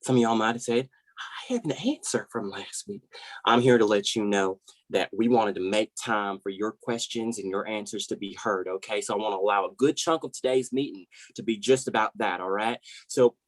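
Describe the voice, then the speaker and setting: An actor playing an effusive, rambling, over-eager team manager, who stumbles and repeats himself, in a comedy web series. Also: male voice, fairly low pitch, normal speed, dry recording, natural voice, low-quality recording. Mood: earnest, rambling.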